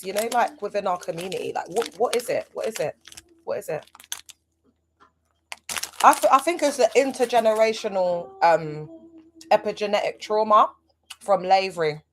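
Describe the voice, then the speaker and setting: Woman chatting away on a live stream, mid pitch, lots of asides and little jabs. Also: a little hiss or hum. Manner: animated, chatty, rhetorical asides. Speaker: livestream host. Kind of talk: casual livestream talk.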